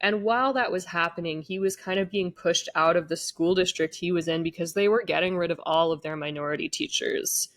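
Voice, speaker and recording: A woman guest shares a personal story in casual conversation, her voice a little low. She fills her speech with "like" and "you know," and the recording is dry and close. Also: fairly quick, few pauses, dry recording. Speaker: podcast guest.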